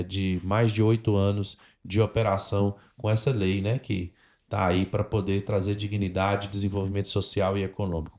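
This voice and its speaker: Low-voiced Brazilian man hosting a podcast. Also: a little mellow. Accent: Brazilian Portuguese. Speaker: podcast host. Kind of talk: podcast talk, addressing the audience directly.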